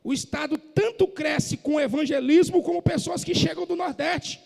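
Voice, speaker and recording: A man preaching a sermon in a church, impassioned and rising to shouts, from northeastern Brazil. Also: very high-pitched, moderate pace, creaky. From Northeast Brazil, Maranhão. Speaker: pastor. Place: church hall. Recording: echoey church hall.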